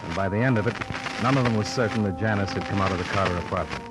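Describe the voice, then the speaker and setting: An American actor playing a cool, persistent insurance investigator, dry and matter-of-fact, in an old radio noir drama. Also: male voice, lowish voice, racing, over loud music, close to an everyday voice. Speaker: narrator and lead actor. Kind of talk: 1940s-50s radio noir, first-person narration and dialogue. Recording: very noisy old radio recording.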